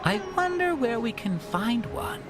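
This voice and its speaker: Easygoing, lilting bazaar local. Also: male voice, extremely high pitch, big pitch swings, unhurried, heavily acted. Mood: friendly. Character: easygoing, friendly theater regular in a bazaar. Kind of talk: video game voice acting. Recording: game music behind. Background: game music.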